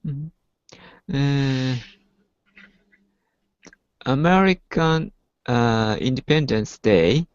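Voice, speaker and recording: A man's voice: mid-range voice, expressive, very slow, pauses often, clean tone, no echo, muffled recording. A man giving simple, hesitant answers as a student in an English class.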